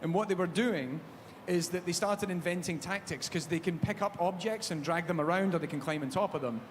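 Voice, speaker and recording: A man from Glasgow with a Scottish accent, hosting a live panel chat and telling jokey, animated stories on a theatre stage. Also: high-pitched, a little fast. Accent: Glaswegian Scottish. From Scotland. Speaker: panel host. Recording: echoey hall, background noise.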